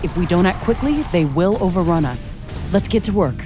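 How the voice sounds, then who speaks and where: A woman's voice: fairly low pitch, lively intonation, a little fast, music in the background, natural voice. A woman playing a determined scientist-commando in a video game, speaking in a composed, matter-of-fact, analytical way. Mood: determined, wry. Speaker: game protagonist. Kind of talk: scripted video game dialogue.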